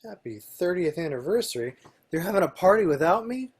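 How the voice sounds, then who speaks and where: A man's voice: high voice, very animated, a little fast, husky, exaggerated voice. A man playing a lonely, grumbling video-game bad guy with a sarcastic edge in a screenplay reading. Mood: indignant.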